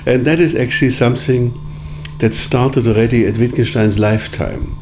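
A man whose first language is Sorbian, answering interview questions in a measured, deliberate way with frequent pauses. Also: medium pitch, fairly even intonation, background noise. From Germany. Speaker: interviewee, editor of philosophical writings.

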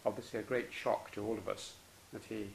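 A male interviewer asking questions politely and hesitantly, with many ums and soft acknowledgements. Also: lowish voice, flat delivery, brisk, gravelly, slight background noise, rough recording. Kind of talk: oral history interview.

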